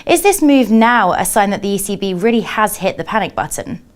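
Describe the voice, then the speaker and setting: A woman's voice: medium pitch, big pitch swings, fairly quick. A woman working as a television journalist, delivering scripted questions in a broadcast news interview.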